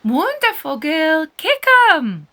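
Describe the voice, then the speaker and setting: Storybook role: excited beach kid, shouting, very high and bright, voiced by a woman. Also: slow, full character voice. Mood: boisterous. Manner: shouting, exclamatory. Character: boisterous excited children playing on the beach.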